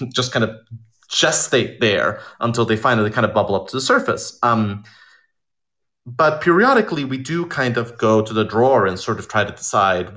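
A man with a fairly low voice talks in a relaxed, informal interview. He lays out his thoughts deliberately, in measured phrases with pauses between them. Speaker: audio drama creator, interviewee. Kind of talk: informal live conversation interview.

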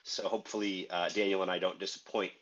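A low-pitched American man talks easily through his career story, dotted with ums and uhs. The sound is dull, like a lo-fi remote recording. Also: fast talker, slight background noise. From United States. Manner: relaxed, conversational, frequent uh and um. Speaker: startup co-founder, guest. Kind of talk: podcast interview.

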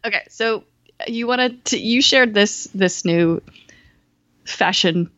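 A woman's voice: medium pitch, slow, fairly bright tone, no room sound, dull audio. Giggly woman co-hosting a podcast. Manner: giggly, rambling, lots of fillers. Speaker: podcast co-host. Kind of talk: casual podcast chat.

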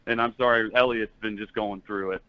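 Low-voiced man on a dull call-in line. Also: steady tone, brisk, clean tone, dry recording. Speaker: caller to a sports show. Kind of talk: casual chat. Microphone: remote call-in line.

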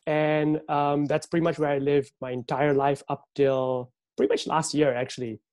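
A man's voice: a little high, flat delivery, moderate pace, darkish tone, dry recording, studio quality. A young man from Malaysia, a guest on an interview podcast, talking in an easygoing, agreeable way. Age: young adult. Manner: easygoing, agreeable, says "yeah" often. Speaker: guest, visual artist. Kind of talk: interview conversation.

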